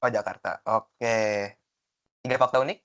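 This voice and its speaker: A man's voice: on the low side, fairly even intonation, normal speed, fairly bright tone, lo-fi sound. A young man acting as student moderator of a group call, asking questions in an informal, hesitant way. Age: young adult.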